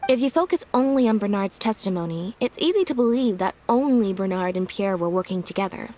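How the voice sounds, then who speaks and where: A woman playing a composed, sharply logical detective in a video game, explaining in a measured way. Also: medium pitch, lively intonation, dark tone, a little hiss or hum, natural voice. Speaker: detective character. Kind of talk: video game story voice acting. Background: faint music.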